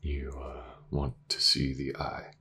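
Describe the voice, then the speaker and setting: Man playing a very deep-voiced, hesitant, gentle detective. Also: completely flat delivery, takes it slowly, a little hiss or hum, full character voice. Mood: hesitant, tender. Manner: soft, hesitant, often dropping to a whisper. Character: gentle, reassuring male detective with an injured eye. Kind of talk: intimate audio roleplay acting.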